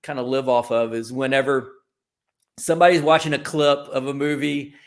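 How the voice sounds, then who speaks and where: A man's voice: medium pitch, flat delivery, normal speed. A man speaking as an interview guest, giving informal, discursive answers and often rephrasing himself as he goes. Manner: informal, discursive, self-correcting. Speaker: guest, creative company founder.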